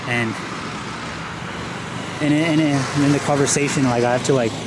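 A man's voice: mid-range voice, fairly even intonation, moderate pace. A young man from Los Angeles talking casually to the camera while riding a bike, rambling with restarts and a little out of breath. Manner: rambling, many restarts and fillers; riding a bike one-handed, short of breath. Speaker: travel vlogger. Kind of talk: casual vlog narration while cycling. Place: city streets outdoors. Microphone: camera held at arm's length. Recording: loud street and traffic noise.

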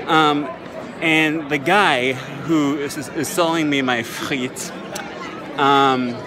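A man in his thirties telling a story in a casual selfie vlog outdoors, relaxed and chatty with lots of ums, filmed on a phone held at arm's length. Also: mid-range voice. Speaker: language-learning vlogger. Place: busy city streets and square outdoors. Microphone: phone camera held at arm's length. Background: faint street and traffic noise.

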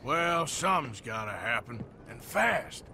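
An actor playing a weary, gruff middle-aged outlaw enforcer who talks bluntly. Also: male voice, mid-range voice, very animated, pushed and bright, a little hiss or hum, full character voice. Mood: worried. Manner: gruff, blunt, slow-spoken. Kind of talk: video game cutscene acting.

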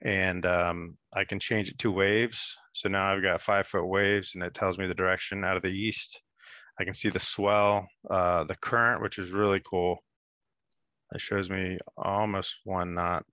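Bright-voiced man demoing an app. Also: low voice, measured pace, no echo. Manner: relaxed, explanatory, many fillers. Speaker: app demonstrator. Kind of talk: screen-share software demo.

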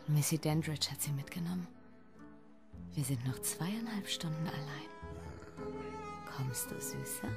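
Low-voiced wife, music behind. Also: female voice, animated, unhurried, long pauses. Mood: soft, inviting. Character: doctor's wife, intimate and matter-of-fact. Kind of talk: radio drama acting.

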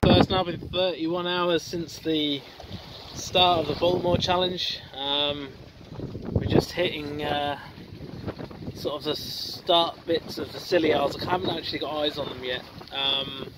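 A man's voice: on the high side, fairly even intonation, a little slow. A man in his thirties on the deck of a small sailboat, talking to his camera in a matter-of-fact way with some ums, and tired. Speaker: solo sailor vlogger. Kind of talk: casual video diary. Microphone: camera mic at arm's length. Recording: windy outdoor camera audio at sea. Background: wind and water noise.

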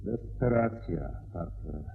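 A man acting in a radio play as a brilliant, assured detective, explaining methodically and deliberately with theatrical pauses. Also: low-pitched, lively intonation, vocal fry, exaggerated voice. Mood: explanatory, measured. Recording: dull radio recording, faint noise and hum.

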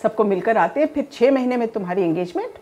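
A woman's voice: fairly high pitch, animated, fast, mellow, soft background music, natural voice. An actress playing a teasing, motherly middle-aged mother who mixes in English phrases, in a home living room. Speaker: groom's mother. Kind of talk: scripted short-film acting.

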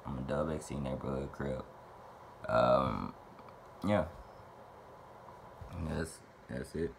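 A man's voice: very deep voice, takes it slowly, vocal fry, a little hiss or hum. A young man in his twenties from Little Rock, Arkansas, rambling profanely and playfully while answering fan questions to camera in a small bedroom. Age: 20s. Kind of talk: casual Q&A monologue to camera.

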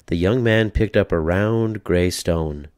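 A man narrating a children's read-aloud story in a deliberate, rhythmic, expressive way. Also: deep voice, no echo, clean studio sound.

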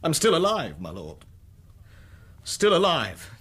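Husky, halting husband back from the dead. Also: male voice, on the high side, huge pitch swings, a bit bright, exaggerated voice. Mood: earnest, insistent. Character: supposedly dead husband revealing himself in court. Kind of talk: 1980s radio drama acting. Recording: archive radio drama recording.

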